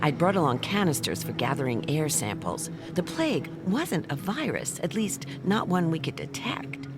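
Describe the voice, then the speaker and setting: Low-voiced, dry female investigator. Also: moderate pace, music in the background. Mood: cool. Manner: dry, laconic, deadpan. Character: cool, tough female sci-fi detective narrating her adventure. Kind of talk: scripted first-person audio drama narration.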